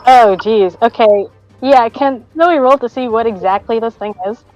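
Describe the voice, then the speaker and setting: A woman's voice: fairly high pitch, normal speed, a little mellow, dry recording, highs cut off, soft background music. A woman chatting casually as a player in a tabletop roleplaying game.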